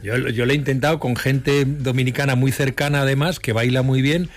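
A man hosting a casual conversational interview, often stammering and pausing as he searches for words. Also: mid-range voice, steady tone, a little hiss or hum. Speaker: interview host.

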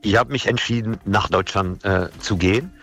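A man from France with a French accent, speaking German as a guest on a phone interview, animated and telling stories. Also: lowish voice, moderate pace, soft background music. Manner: animated, storytelling. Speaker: guest reporter. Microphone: telephone.

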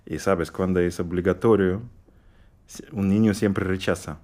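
Deep-voiced man. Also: fairly even intonation, a little slow, darkish tone. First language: Russian. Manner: hesitant, searching for words, many fillers. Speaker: guest pianist. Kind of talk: halting interview answers in a second language.

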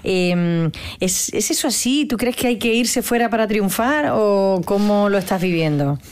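A woman's voice: mid-range voice, expressive, unhurried, slight background noise. A woman co-hosting an interview in a casual, conversational way. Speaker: interview co-host.

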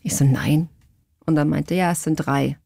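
Woman guest chatting, lowish voice, tells stories with acted quotes, dry close mic. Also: moderate pace, gravelly, dry recording. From Portugal. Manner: animated storytelling with acted-out quotes. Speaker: interview guest. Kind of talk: casual anecdotal chat. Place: radio studio. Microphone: close studio microphone.

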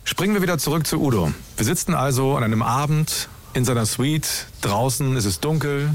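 A man's voice: mid-range voice, fairly quick, a little hiss or hum. A man hosting a documentary podcast, telling the story in scripted narration and laying out questions one by one.

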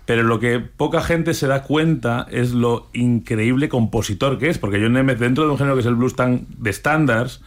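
A man speaks as a guest on a radio show, explaining things in a friendly, easygoing way. His pitch sits in an ordinary middle range. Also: normal speed, hardly any pauses. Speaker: music booking agent guest. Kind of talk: radio interview.

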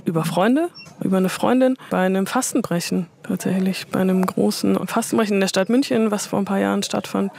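A woman's voice: mid-range voice, fairly even intonation, fairly quick, vocal fry, a little mellow, a little hiss or hum. A woman answering interview questions thoughtfully, often qualifying her points with fillers. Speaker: interview guest.

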